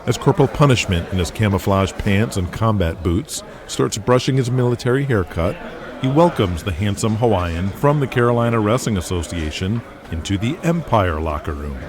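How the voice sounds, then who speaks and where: A man's voice: fairly low pitch, lively intonation, moderate pace, a crowd in the background. A man narrating an audio drama in scripted, present-tense storytelling style. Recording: music playing behind the voice. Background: music.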